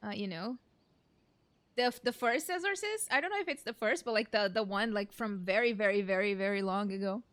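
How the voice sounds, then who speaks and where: A woman's voice: mid-range voice, fairly quick, a bit bright. A young woman giving casual, chatty let's play commentary as a streamer, with a giggly, hesitant way of talking. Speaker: streamer playing a video game. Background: game music.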